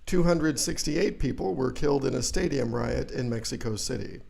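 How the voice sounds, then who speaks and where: A man playing an earnest, self-serious news anchor, gravelly and deadpan, in a parody news broadcast. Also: mid-range voice, moderate pace. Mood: deadpan, official. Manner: deadpan, grave newsreader delivery.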